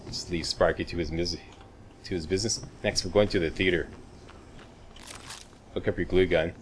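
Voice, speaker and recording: Very low-voiced male game walkthrough host. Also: steady tone, normal speed. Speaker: gaming video host. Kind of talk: casual game walkthrough commentary. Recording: clear background game noise. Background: video game ambience.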